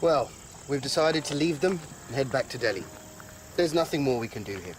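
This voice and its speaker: A man's voice: medium pitch, animated, brisk, slight background noise, natural voice, low-quality recording. A man acting as an earnest radio journalist, giving observant, explanatory commentary in a documentary-style drama. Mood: observant, concerned.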